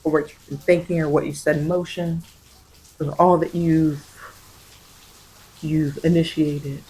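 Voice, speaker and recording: A tarot reader speaks at a middling pitch in an improvised ASMR style. The delivery is halting and reflective, with phrases repeated and reworked as the reading unfolds. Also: little pitch movement, mellow, rough recording.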